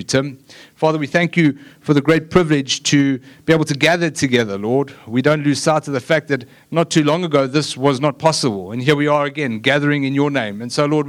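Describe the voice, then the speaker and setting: A man who pastors the church, giving announcements to the congregation and leading a short prayer in a friendly, informal manner. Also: medium pitch. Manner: friendly and easygoing, then earnest in prayer. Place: church stage.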